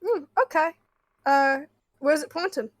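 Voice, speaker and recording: A woman's voice: high-pitched, measured pace, no echo, clean studio sound. A woman playing a tabletop roleplaying game, talking in casual, playful banter.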